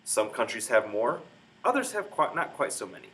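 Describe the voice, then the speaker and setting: A man's voice: mid-range voice, sing-song, moderate pace, a bit bright. A man reading a children's book aloud in a dramatic, emphatic storytelling manner. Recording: clear background noise, room ambience.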